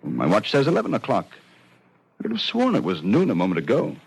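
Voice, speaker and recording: A man acting a skeptical, nervous gentleman in a vintage radio drama, speaking in a hesitant, incredulous manner with a slightly husky voice. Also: mid-range voice, huge pitch swings, fast, exaggerated voice. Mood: incredulous, uneasy.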